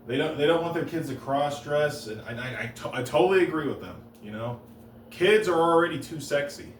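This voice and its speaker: A man doing deadpan one-liner stand-up comedy on a stage, halting and restarting between jokes. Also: on the high side, a little hiss or hum. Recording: roomy live room, heard from a distance.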